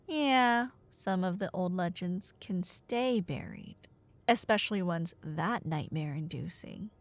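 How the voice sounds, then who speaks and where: A woman's voice: a little high, a bit bright, no echo. A woman playing a nerdy, teasing girlfriend in an ASMR roleplay, rambling through Greek myths with dramatic narration and casual sarcastic asides. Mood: wry, casual. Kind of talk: ASMR roleplay storytelling to a listener.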